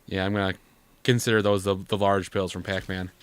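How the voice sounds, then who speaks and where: A man's voice: sits low, little pitch movement, brisk, slight background noise. A man co-hosting a gaming show with casual, playful, wisecracking commentary. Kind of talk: casual joking let's play commentary.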